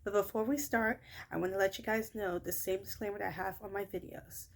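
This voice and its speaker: A young woman with a high, shrill voice chats casually about her workday. She rambles, leans on fillers like "you know" and "uh", and keeps correcting herself mid-sentence. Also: steady tone, fairly quick, a little hiss or hum. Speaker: childcare worker. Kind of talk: casual vlog recap of a workday.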